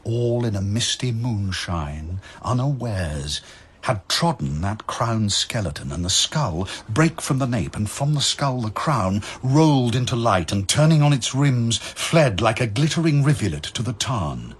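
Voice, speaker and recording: A man narrating dramatic verse as a solemn, measured storyteller. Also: mid-range voice, very animated, slightly rough.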